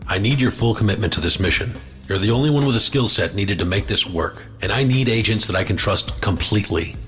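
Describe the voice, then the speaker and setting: A man's voice: fairly low pitch, animated, a little fast, a bit bright, soft background music. An actor playing a stern, commanding male intelligence chief in an audio drama, firm and insistent. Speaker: door chief.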